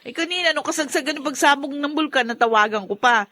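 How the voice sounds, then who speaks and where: An uneasy, exasperated younger sister in a Filipino radio drama. Her voice is high and bright. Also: quick pace. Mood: concerned.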